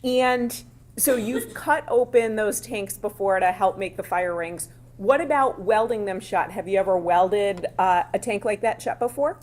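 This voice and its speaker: A woman attorney questioning a witness in court, with a loud voice and a conversational, plainspoken style of quick follow-up questions. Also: medium pitch.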